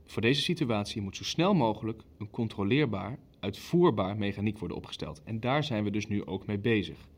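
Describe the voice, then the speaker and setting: An actor in an audio drama playing a controlling senior Nazi official who chairs with clipped, formal authority. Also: male voice, lowish voice, lively intonation, a little fast, few pauses. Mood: firm, controlled.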